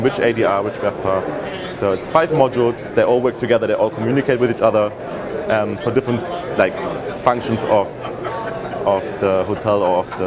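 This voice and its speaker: A man from Germany with a German accent answers interview questions at an easy pace. He talks in a relaxed way with frequent ums and uhs. A crowd chatters clearly behind him in a busy hall. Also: lowish voice. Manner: relaxed, many fillers like um and uh. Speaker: interviewee, business development head. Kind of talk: conversational interview.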